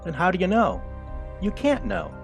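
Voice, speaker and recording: Guy doing blunt skeptical mentor mouse, audio drama, lively voice, music behind. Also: high voice, moderate pace, creaky, heavily acted. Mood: skeptical, exasperated. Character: skeptical, no-nonsense male mouse, gruff mentor.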